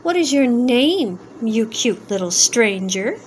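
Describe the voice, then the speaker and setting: A woman voicing a bold, playful little girl superhero from a storybook, in a brisk, chirpy manner. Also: fairly high pitch, very animated, a little hiss or hum. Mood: bold, friendly.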